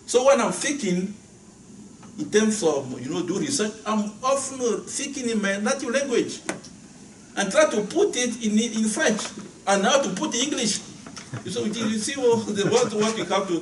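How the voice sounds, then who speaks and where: A man from Benin whose first language is Ewe, speaking English as a guest lecturer and answering questions, often pausing and repeating words as he searches for phrasing. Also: high-pitched, expressive. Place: lecture hall. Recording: echoey hall, voice from far off, faint noise.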